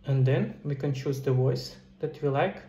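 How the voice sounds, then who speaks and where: A middle-aged man talks viewers through a casual screen tutorial in an explanatory way. His voice has an average pitch. The recording is roomy and echoey, with some noise behind him. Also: moderate pace, warm tone. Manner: explanatory, addresses viewers directly. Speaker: video tutorial presenter, indie game developer. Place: indoor room.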